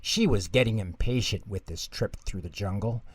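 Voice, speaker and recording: A man reading a children's bedtime story aloud as scripted, expressive narration. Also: lowish voice, normal speed, slightly rough, no echo.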